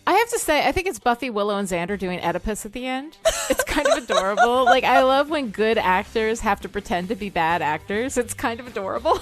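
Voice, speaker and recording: A woman's voice: on the high side, animated, a little fast, hardly any pauses. A woman chatting casually and emphatically as a podcast co-host, informal and agreeable. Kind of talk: casual chat about a TV show.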